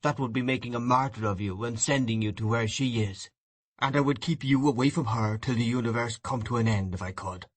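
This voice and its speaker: A man's voice: medium pitch, fairly quick, lightly acted. A man reading an audiobook voices an enraged, grief-stricken husband in a hard, accusing tone. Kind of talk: audiobook reading of a classic novel.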